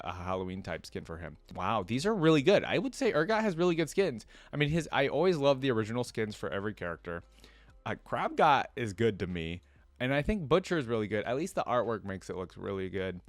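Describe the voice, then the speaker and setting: A man hosting a reaction video, talking casually and unscripted to viewers, rambling and speculating as he thinks out loud. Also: on the low side, dry recording. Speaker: reaction video host, fan commentator.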